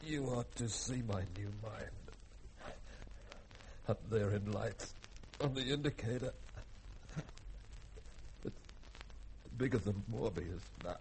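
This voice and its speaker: A man's voice: lowish voice, slow, pauses often, a little mellow, rough recording. A man acting a dry, analytical ship's doctor in a sci-fi radio drama. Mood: weak, feverish. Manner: dry, probing, scientific. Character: sardonic male ship's doctor, coolly analytical. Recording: hissy vintage radio drama recording.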